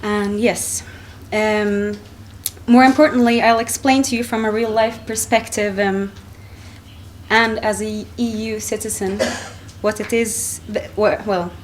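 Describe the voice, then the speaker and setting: A young woman from Finland reading a prepared talk into a lectern microphone in a conference hall, measured and with frequent ums and restarts. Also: mid-range voice, fairly even intonation, slight background noise. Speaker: conference speaker. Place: wood-panelled conference hall, indoors.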